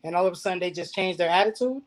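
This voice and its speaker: A man's voice: high voice, racing, poor audio. A man as a guest in a casual discussion, speaking earnestly and restarting some phrases.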